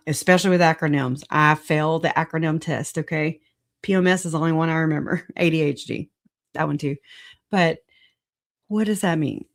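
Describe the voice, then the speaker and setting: Hoarse, raspy host laughing through chat. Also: medium pitch. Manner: laughs often, self-deprecating, chatty. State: hoarse from recent illness. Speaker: livestream host. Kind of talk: casual livestream chat. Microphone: computer mic on streaming software.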